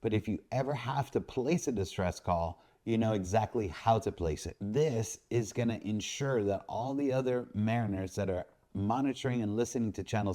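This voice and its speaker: Low, mellow male instructor. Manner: methodical, explanatory. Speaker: boat captain presenting an instructional video. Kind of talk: instructional narration.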